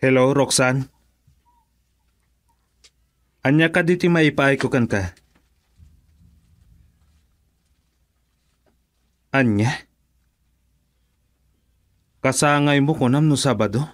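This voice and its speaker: A man acting as a patient young husband and father in a radio drama. He speaks in an Ilocano accent at a middling pitch, with an easygoing manner. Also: a little slow, pauses often, natural voice. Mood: friendly, hesitant.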